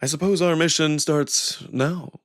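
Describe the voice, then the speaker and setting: A man voicing a suave young jackal prince who speaks in a measured, smug, theatrically polite way. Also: on the high side, fairly even intonation, no echo, clean studio sound. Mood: composed, sly.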